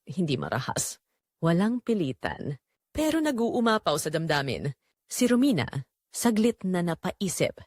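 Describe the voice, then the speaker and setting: A woman's voice: fairly low pitch, very animated, a little slow, a little mellow, no echo, studio quality. A woman with a Filipino accent narrating a scripted dramatic story as a storyteller.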